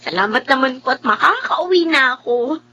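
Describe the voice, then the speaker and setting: A woman's voice: high voice, lively intonation, moderate pace, sharp tone, husky, exaggerated voice. An actress playing a hardworking young woman who sells rice cakes, earnest, plaintive and polite, in a Filipino radio drama. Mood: polite, eager. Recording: background music under the voice.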